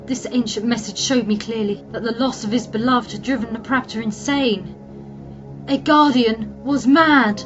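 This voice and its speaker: A woman as a high-voiced, grave young-sounding alchemist. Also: measured pace, natural voice. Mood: grim dismay. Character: female human alchemist, trapped, wary and determined survivor. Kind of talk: dramatic first-person audio drama narration. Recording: dull lo-fi sound, music underneath. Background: music.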